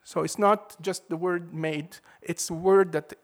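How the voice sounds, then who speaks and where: A man preaching a sermon in a measured, emphatic way, leaning hard on key words. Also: high-pitched, steady tone.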